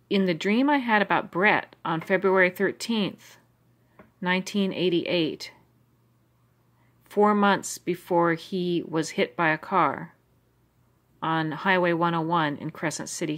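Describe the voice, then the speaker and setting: A middle-aged American woman with a low voice talks over her old diaries. She drifts from thought to thought and fills the gaps with ums and you-knows. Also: fairly bright tone. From United States. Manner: musing, stream of consciousness, many fillers. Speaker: vlogger reading her old journals. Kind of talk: rambling personal commentary.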